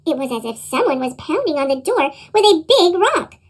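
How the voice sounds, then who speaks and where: Female children's narrator, chipmunk-processed, squeaky high, very animated read-aloud delivery. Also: moderate pace. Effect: sped-up chipmunk pitch effect. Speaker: storybook reader.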